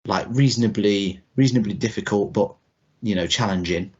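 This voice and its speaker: A man co-hosting a podcast in casual chat, talking in a rambling, halting, informal way. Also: on the low side, slightly rough. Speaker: podcast co-host. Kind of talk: casual chat about retro cartoon video games. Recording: dull, muffled lo-fi audio.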